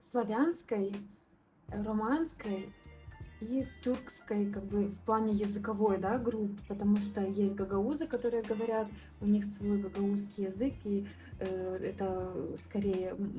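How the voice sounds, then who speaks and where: A woman's voice: mid-range voice, dark tone. A young woman from southern Moldova, chatting casually and rambling with many fillers and restarts while she paints for her vlog. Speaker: art vlogger.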